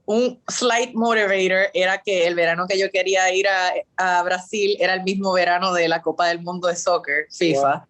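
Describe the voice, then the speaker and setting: A woman's voice: lowish voice, steady tone, moderate pace, hardly any pauses, fairly bright tone. A woman in her thirties from Puerto Rico with a Puerto Rican accent, answering interview questions in a chatty way, full of fillers and English words, over a video call. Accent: Puerto Rican Spanish. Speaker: guest.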